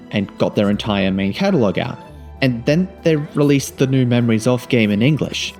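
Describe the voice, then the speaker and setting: A man's voice: mid-range voice, a little mellow. A man reading a scripted video game review as narration.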